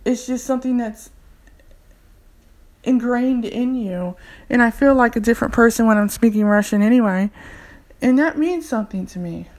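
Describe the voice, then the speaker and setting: A woman's voice: on the high side, moderate pace, long pauses, darkish tone. Earnest, enthusiastic woman in her forties. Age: 40s. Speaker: community English tutor and language learner. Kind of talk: casual personal testimonial.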